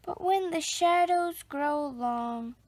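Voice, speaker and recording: Very slow, high-voiced woman reading a storybook. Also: a little hiss or hum. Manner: deliberate, dramatic pauses. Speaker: storybook reader. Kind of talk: expressive children's story read-aloud.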